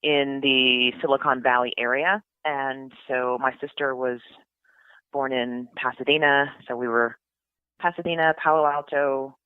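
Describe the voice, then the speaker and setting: A woman's voice: extremely low pitch, fairly even intonation, unhurried, clean tone, dry recording. A middle-aged woman from California, chatting as an interview guest, with frequent ums and restarts. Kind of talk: casual interview talk. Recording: tinny phone-line sound, dry.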